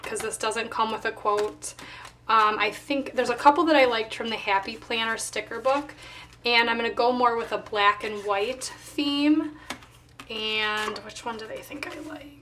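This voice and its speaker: A woman with a slightly hoarse voice, casually narrating her craft work and thinking aloud as she goes. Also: fairly high pitch, a bit bright, far from the mic, slight background noise. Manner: unhurried thinking aloud while working. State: slightly hoarse, voice not recovered. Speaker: planner vlogger.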